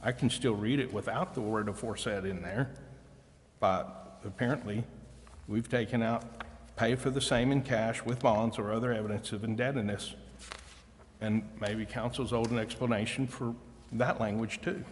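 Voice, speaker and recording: A man speaking as a council member in formal debate, with deliberate, careful phrasing. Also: fairly low pitch, fairly even intonation. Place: indoor council chamber. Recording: reverberant meeting chamber with background noise.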